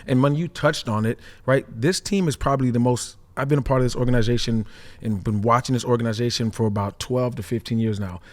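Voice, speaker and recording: A man who is a former pro football player, talking energetically on a sports podcast and tagging his points with quick questions. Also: mid-range voice. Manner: energetic, repeats himself, peppers lines with "right?". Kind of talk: casual sports podcast chat.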